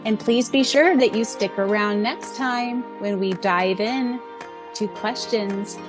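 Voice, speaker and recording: A woman co-hosting a fan podcast, gushing excitedly and laughing often as she reads answers and wraps up the show. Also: mid-range voice, slow, music in the background. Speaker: podcast co-host.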